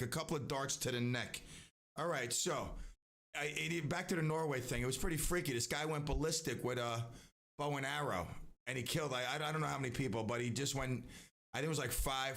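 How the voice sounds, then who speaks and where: A man's voice: fairly high pitch, vocal fry, a bit bright. A man hosting a live stream, talking in a casual, rambling way with many restarts and asides.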